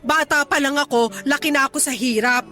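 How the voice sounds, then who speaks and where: An aggrieved middle-aged mother in a radio drama. Her voice is high and bright, with a scolding, sulky edge. Soft music runs underneath. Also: brisk. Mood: hurt, aggrieved. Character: indignant middle-aged widowed mother running a small eatery. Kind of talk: Filipino radio drama acting. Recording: music playing under the dialogue.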